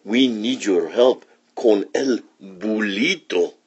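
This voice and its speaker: A man's voice: on the low side, slow, slightly rough, lo-fi sound. A man voicing dramatic little Chihuahua pals who exclaim urgently in a storybook. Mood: urgent, excited. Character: small Chihuahua amigos, dramatic dudes mixing in Spanish.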